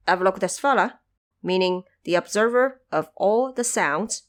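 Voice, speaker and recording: A woman hosting a podcast, explaining background notes in a measured, instructive way with conversational asides. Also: lowish voice. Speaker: podcast host. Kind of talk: explanatory production-notes narration.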